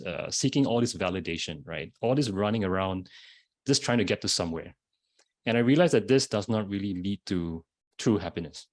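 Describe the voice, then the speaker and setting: Low-voiced Singaporean man, reflective. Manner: thoughtful, many fillers and restarts. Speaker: panelist, social entrepreneur. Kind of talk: reflective personal talk on a panel.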